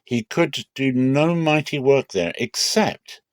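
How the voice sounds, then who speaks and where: A man reading scripture aloud as a solemn, expressive dramatic reading. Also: medium pitch, slow, dry recording, studio quality. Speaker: Bible reader.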